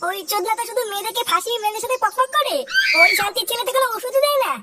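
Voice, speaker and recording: Cartoon sidekick lad, extremely high pitched-up voice, rapid crude slang, excitable, noisy track. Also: shouting. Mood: urgent, tattling. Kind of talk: comic cartoon dubbing.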